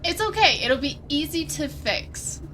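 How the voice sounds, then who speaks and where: A woman's voice: sits high, lively intonation, measured pace, pushed and bright. A woman chatting casually as a player in a tabletop game, tentatively thinking aloud as she describes what her characters do. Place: online livestream video call. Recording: clearly noisy background.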